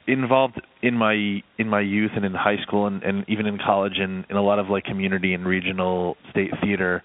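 A man answering as an interview guest, casual and halting as he searches for words. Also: sits low. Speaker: interview guest, film composer. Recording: tinny phone-line sound.

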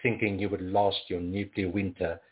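A man from Africa, reading his poems aloud in a measured, rhythmic way. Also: sits low, flat delivery, noisy background. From South Africa. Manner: measured, rhythmic recitation with pauses. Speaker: poet. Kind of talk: poetry reading. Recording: tinny phone-like audio.

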